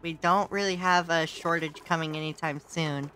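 A woman streaming a game with casual, playful commentary, laughing often, her voice a little hoarse and sore. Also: sits low, fairly even intonation, normal speed, background noise. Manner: giggly, playful, exclaims at the game.

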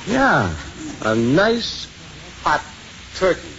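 Easygoing priest, animated intonation. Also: male voice, on the high side, drawn-out words, creaky, exaggerated voice. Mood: friendly. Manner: casual, gently probing. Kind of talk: 1950s radio acting. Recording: muffled, faintly noisy 1950s radio recording.

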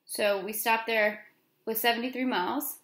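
A woman's voice: on the high side, fairly even intonation, normal speed, a bit bright. A middle-aged American woman talking casually to camera in a conversational vlog style, with informal asides, recorded in a room at home. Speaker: vlogger. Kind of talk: casual vlog recounting from notes. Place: small room at home, indoors. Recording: echoey room.

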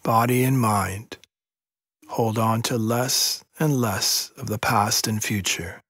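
Slow, breathy male meditation guide. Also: on the low side, dry recording, clean studio sound. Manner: slow, hushed, soothing, measured instruction with long pauses. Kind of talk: guided yoga nidra sleep meditation.

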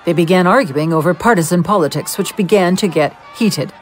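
A woman's voice: fairly low pitch, fairly quick, a little hiss or hum, lightly acted. A woman playing a veteran war correspondent who is losing her composure during a field report in an audio drama. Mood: shaken, reporting. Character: veteran female war correspondent unraveling into madness.

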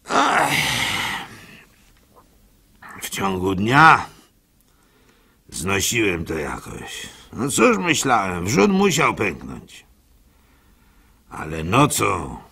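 An actor playing a gullible, soft-hearted village baker who tells his story in a halting, plaintive way for a radio play. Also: lowish voice, gravelly, husky, heavily acted. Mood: sorrowful. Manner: halting, stammering, plaintive, swinging between hushed and loud. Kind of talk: dramatic first-person storytelling for a radio play.

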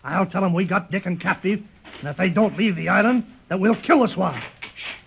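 An actor playing a rough, bossy, short-tempered villainous sailor with a gruff, salty way of talking, in old-time radio adventure style. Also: male voice, sits high, a little fast, slight background noise. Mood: threatening. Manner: gruff, salty uneducated seaman's talk. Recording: dull lo-fi old radio recording.

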